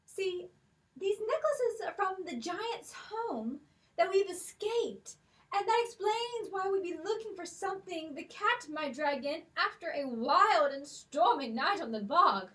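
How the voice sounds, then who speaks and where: A plucky, clever young girl in a children's puppet show, played by a woman, very high and bright, bouncing through her lines at an unhurried pace. The mic sits some distance away in the room. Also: expressive, heavily acted. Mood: earnest. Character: brave, clever poor young girl Molly.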